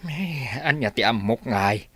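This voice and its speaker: A man's voice: medium pitch, lively intonation, unhurried, sharp tone, no echo. A man playing a concerned, suspicious village father in an Ilocano radio drama, with an Ilocano accent.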